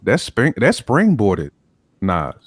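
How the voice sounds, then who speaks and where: A man's voice: fairly low pitch, huge pitch swings, takes it slowly, breathy edge, no room sound. A man on a podcast panel chatting casually and emphatically, repeating phrases to stress his point.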